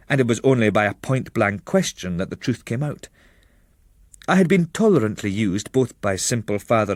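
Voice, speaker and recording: A man reading a literary travel memoir as narrator, in a measured, expressive storytelling manner. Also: lowish voice, dry recording, studio quality.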